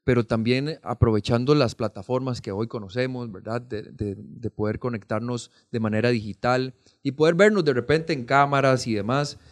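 A Costa Rican man with a medium-pitched voice talks as a podcast guest. He explains in an earnest, conversational way and seeks agreement as he goes. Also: steady tone, moderate pace, few pauses. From Costa Rica. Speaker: podcast guest, foundation programme representative.

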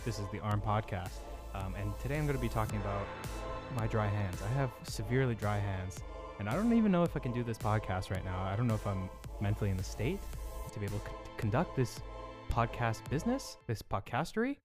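A man's voice: lowish voice, very animated, a little fast, over music. A man co-hosting a casual comedy podcast, joking around, laughing often and repeating bits playfully. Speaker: podcast co-host.

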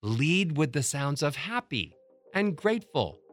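Lively voice coach guy, middle-aged, big emphatic swings, dead dry mic. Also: a little slow, dry recording, soft background music. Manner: emphatic, demonstrates pitch and loudness changes. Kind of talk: motivational teaching talk.

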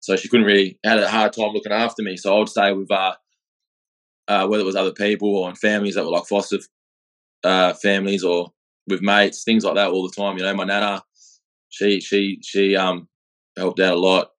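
A man's voice: deep voice, flat delivery, brisk, fairly bright tone. An Australian man in his thirties, a guest telling his life story in a plain-spoken, rambling way with restarts and the odd swear word. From Australia (Perth). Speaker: guest, gym owner and boxer. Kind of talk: casual storytelling in an interview.

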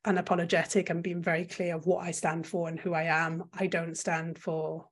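A woman's voice: lowish voice, flat delivery, moderate pace. A woman whose first language is French, speaking as an opinionated, emphatic guest in a conversational interview, often restarting her sentences. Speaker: guest, content creator.

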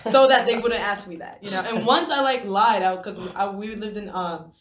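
Young woman in her twenties, from Japan, casual podcast guest, talks in loose rambling sentences that restart often, middle-pitched voice, roomy echo around her. She sounds relaxed and chatty. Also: moderate pace. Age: 20s. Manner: rambling, with many restarts and likes. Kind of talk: casual conversational storytelling.

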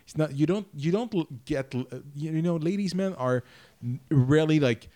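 Halting male podcast co-host. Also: fairly high pitch, mellow. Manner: halting, with many restarts and fillers. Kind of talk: casual chat.

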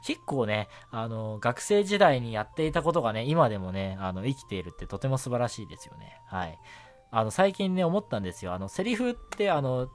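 A man's voice: medium pitch, sing-song, moderate pace. A young man hosting a casual solo radio show, talking politely and chattily with frequent short affirmations. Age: young adult. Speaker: radio show host, amateur voice actor. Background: background music.

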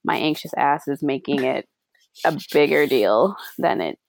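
A young woman co-hosting a casual podcast, chatting in a rambling, animated way with lots of fillers and a few Spanish words mixed in. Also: low voice, a little slow, gravelly, poor audio. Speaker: podcast co-host. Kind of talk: casual chat. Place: lives in Madrid.

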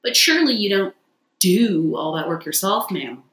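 A woman's voice: medium pitch, expressive, moderate pace, fairly bright tone, natural voice. Female reader as big barge woman, hearty, chatty, respectful, working storybook character, echoey recording. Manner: polite, plain-spoken, chatty.

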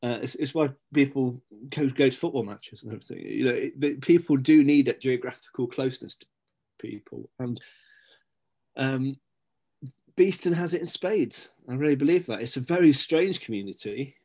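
A man's voice: medium pitch, moderate pace, a little mellow. A middle-aged man, a guest in a casual interview, talking in rambling sentences with many fillers and restarts. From Scotland-born, raised in Stapleford, England. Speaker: guest, local magazine editor.

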